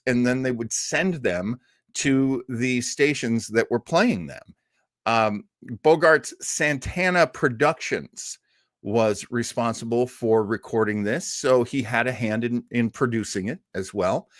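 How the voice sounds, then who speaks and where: A man's voice: mid-range voice, a bit bright, no room sound. A man hosting a live listening party, presenting casually in a chatty, enthusiastic way with dry humor.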